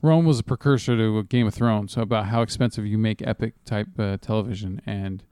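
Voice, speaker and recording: A man chatting casually as co-host of a movie review show, profane, halting and often repeating himself. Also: fairly low pitch, fairly even intonation, warm tone, clear, resonant tone, dry recording, clean studio sound. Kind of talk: casual chat, film commentary.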